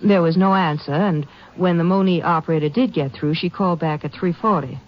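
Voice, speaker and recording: A woman's voice: on the low side, a little fast, lightly acted. A woman acting a young small-town telephone operator in an old radio drama, polite, precise and forthcoming, with an American accent. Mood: helpful. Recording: muffled vintage radio recording.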